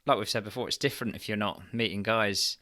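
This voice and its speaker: A British man talks in a loose, conversational podcast style. His voice has a clearly bright, crisp edge. He tends to ramble and cut across his own sentences. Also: fairly low pitch, steady tone, fast. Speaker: podcast co-host. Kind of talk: casual podcast chat.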